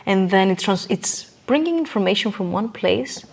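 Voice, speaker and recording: A woman's voice: medium pitch, expressive, normal speed, creaky, breathy edge. Earnest woman explaining on a podcast. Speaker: interview guest, coach.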